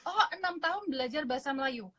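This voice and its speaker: An Indonesian-accented woman hosting a phone live stream, chatting casually and gushing with excitement. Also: sits high, racing. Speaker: host of an Instagram live. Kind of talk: casual live-stream chat switching between two languages. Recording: phone live stream with faint ambient noise.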